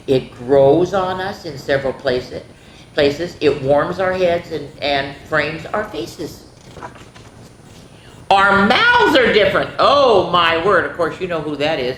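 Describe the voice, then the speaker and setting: A woman with a low voice reads to children in an echoey room with a little background noise. She tells stories dramatically and breaks off for exclamatory, chatty asides to her young listeners. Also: fairly bright tone. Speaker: children's story time host. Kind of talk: animated read-aloud storytelling with chatty asides to children. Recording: roomy echo, faint background noise.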